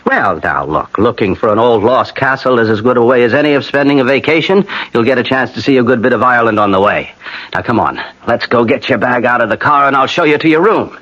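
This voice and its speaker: An actor in a 1980 radio drama playing an easygoing married writer, chatty and folksy, who also narrates. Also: male voice, mid-range voice, lively intonation, fast talker, fairly bright tone. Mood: animated. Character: easygoing married writer and peat cutter, warm old friend. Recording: dull, lightly noisy old radio recording.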